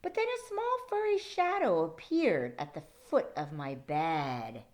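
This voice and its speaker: A woman's voice: low voice, unhurried. Woman reading as an earnest, wide-eyed storybook girl. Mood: hopeful wonder. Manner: dramatic, expressive storybook delivery. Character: young girl narrator, caring, earnest and full of wonder.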